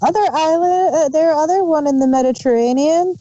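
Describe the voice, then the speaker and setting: A woman's voice: high voice, a little fast, warm tone, slight background noise. A woman chatting casually as co-host of a review show. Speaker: podcast co-host reviewing audio dramas. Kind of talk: casual chat. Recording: dull lo-fi voice recording.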